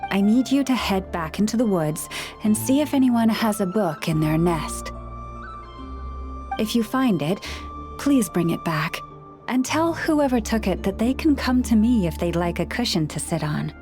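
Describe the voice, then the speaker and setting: A woman voice actor plays a gentle forest caretaker in a video game. She is a woman who speaks soothingly and with measured care at a middle pitch, kind toward every animal. Music plays behind her. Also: lively intonation, lightly acted. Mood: musing, mildly exasperated. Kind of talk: video game character dialogue.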